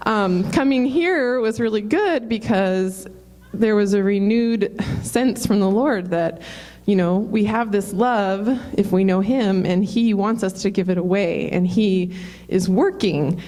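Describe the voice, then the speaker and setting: A woman's voice: mid-range voice, unhurried, mellow. A middle-aged woman sharing an earnest personal testimony, laughing often. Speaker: church member sharing testimony.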